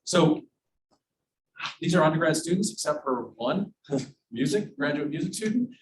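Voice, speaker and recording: A man's voice: fairly high pitch, animated, measured pace. Man, professor, chatty and enthusiastic, hosting a classroom interview, distant mic, audible room noise. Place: university classroom.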